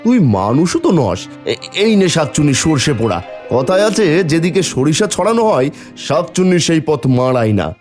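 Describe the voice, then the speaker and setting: A man's voice: on the high side, very animated, a little fast, darkish tone, faint music behind. A man playing a suspicious, hot-tempered village husband in a folk-tale cartoon, with a dramatic, emphatic delivery. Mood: accusing.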